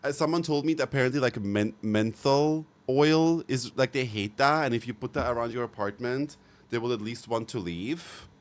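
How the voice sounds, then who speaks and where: A man streaming live, chatting casually with viewers in an animated way, swearing freely and laughing often. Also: medium pitch, normal speed.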